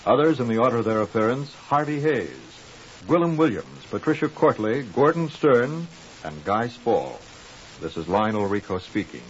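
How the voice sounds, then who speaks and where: Animated vintage male radio announcer. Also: medium pitch, moderate pace. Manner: formal, earnest. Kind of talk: 1950s radio announcing, scripted credits and appeal. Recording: muffled, hissy 1950s radio recording.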